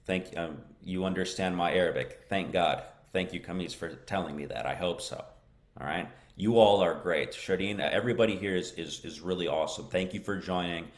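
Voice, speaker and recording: An American man teaching English in a live-stream lesson, answering viewer questions in a slow, encouraging way and repeating key phrases. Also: sits low. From United States.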